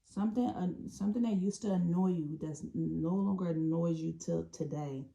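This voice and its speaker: A woman giving an intuitive tarot reading, talking in a calm, meandering way and often restarting or repeating phrases. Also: fairly low pitch, moderate pace, gravelly. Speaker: tarot reader.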